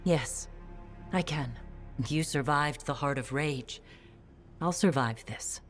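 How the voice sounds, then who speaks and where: A confused, halting woman, low and slow. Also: soft background music. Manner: hesitant, halting, soft. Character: confused gentle woman unsure of her memories. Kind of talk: video game dialogue.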